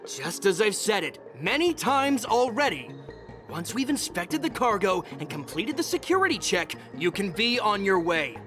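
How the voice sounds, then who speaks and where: Firm, highish-voiced craftsman inspector. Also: very animated, moderate pace, music in the background. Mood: exasperated. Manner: firm, explanatory. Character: exasperated, by-the-book male craftsman inspector. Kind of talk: video game voice acting.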